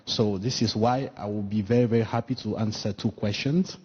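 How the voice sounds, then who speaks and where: A man from Equatorial Guinea whose first language is French, asking a press conference question in halting English with many restarts. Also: lowish voice, a little mellow, breathy edge. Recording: dull sound with long hall reverb.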